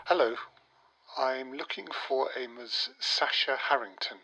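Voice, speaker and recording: A man's voice: medium pitch, a little slow, sharp tone, lo-fi sound. A man playing a courteous, evasive older family solicitor in an audio drama, speaking formally and a little hesitantly. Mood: formal, delicate. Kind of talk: naturalistic audio drama acting.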